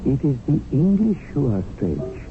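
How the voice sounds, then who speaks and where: Wry, deferential servant-narrator, tinny radio. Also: male voice, medium pitch, huge pitch swings, gravelly. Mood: wry, musing. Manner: formal, flowery, measured phrasing. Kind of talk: 1970s radio drama narration and acting. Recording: noisy narrow-band old radio broadcast.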